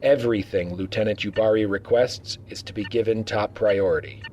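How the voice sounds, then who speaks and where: A stern starship captain played by a man speaks with a low voice and a dry, wry authority. It is science-fiction audio drama, with a light bed of background noise under the voice. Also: steady tone, normal speed, darkish tone, highs cut off, natural voice. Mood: wry, commanding. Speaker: voice actor in fan audio drama.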